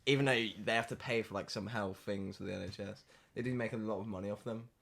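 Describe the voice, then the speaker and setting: Teen guy, kinda low and gravelly, jokey. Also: steady tone, fast talker, fairly bright tone. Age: teenager. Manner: joking, mock-offended. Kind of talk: casual chat.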